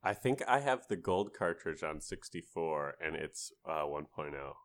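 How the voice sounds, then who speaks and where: A man's voice: rumbling low, expressive, unhurried. A man hosting a podcast, telling things in a casual, conversational storytelling way. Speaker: podcast host.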